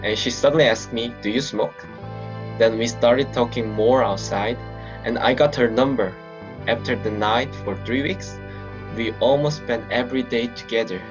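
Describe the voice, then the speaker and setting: A man's voice: mid-range voice, fairly even intonation, normal speed. A man plainly telling how he met his girlfriend. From Korea. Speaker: interviewee. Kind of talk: casual storytelling. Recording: dull lo-fi audio. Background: music.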